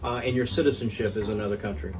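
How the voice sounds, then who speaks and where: A man who is a mortgage specialist, giving steady explanations with frequent fillers as a podcast guest. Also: on the low side, fast, distant mic, background noise. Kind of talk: explaining in an interview.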